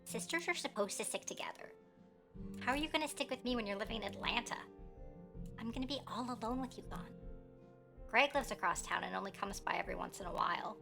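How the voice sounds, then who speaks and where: Over background music, a clingy younger sister in a radio drama. Her voice is high with a noticeable creak, and she sounds earnest and pleading. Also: fast. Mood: pleading, upset. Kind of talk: radio play monologue on a family tape.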